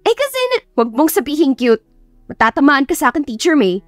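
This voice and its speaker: Blunt, scolding young female teacher read by a woman, raised pitch, defensive romance heroine for audiobook. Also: very animated, a little fast, no echo, faint music behind. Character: prickly, easily annoyed young woman teacher.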